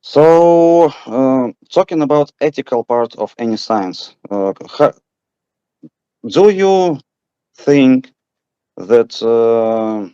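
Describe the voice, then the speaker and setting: A man with a Russian accent asking a question on a webinar call in careful, halting English, stopping often to find words. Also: mid-range voice, lively intonation, dark tone, clear, resonant tone, no echo, dull audio. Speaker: audience member asking a question. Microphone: webinar video call.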